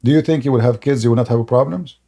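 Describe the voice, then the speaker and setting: A man hosts a live-streamed debate, speaking in a dark, gravelly voice. He explains slowly and haltingly, pausing on fillers and restarting his words. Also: medium pitch. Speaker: debate host.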